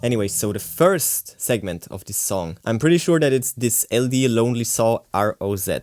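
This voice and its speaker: A man's voice: mid-range voice, lively intonation, normal speed, a little mellow, dry recording. A man giving a casual, enthusiastic product demonstration as a tech reviewer, with exclamatory delivery. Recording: music playing behind the voice. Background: synth and guitar music.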